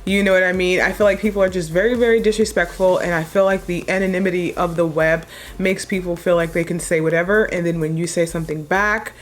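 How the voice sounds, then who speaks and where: A young woman with a deep voice chatting casually as a makeup vlogger, low-key and chill but blunt when she makes a point. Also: steady tone, quick pace. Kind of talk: casual chat while doing a makeup tutorial.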